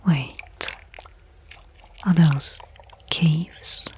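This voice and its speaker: A woman's voice: low-pitched, steady tone, very slow, a little mellow, a little hiss or hum. A woman playing a stranded space explorer in an ASMR sci-fi audio roleplay. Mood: weary, wondering.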